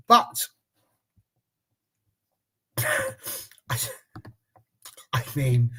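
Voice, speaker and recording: A husky-voiced English man hosting a football panel show. He keeps the banter light and jokey, often poking fun at himself. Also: mid-range voice. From England. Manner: jokey, self-deprecating, lively banter. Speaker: panel host. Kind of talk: casual panel chat.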